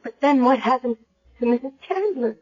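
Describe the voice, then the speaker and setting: Young female, high voice, earnest and hesitant, muffled old radio sound. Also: expressive, normal speed. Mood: anxious, torn. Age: young adult. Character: troubled young secretary regaining her memory, earnest and torn. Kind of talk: 1970s radio drama acting. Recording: muffled 1970s radio broadcast.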